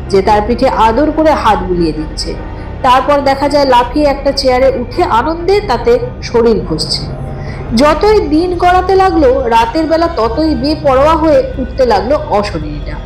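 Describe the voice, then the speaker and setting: A woman narrating a scripted horror story with expressive, dramatic suspense. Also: sits high. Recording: roomy echo, distant voice, background music. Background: music.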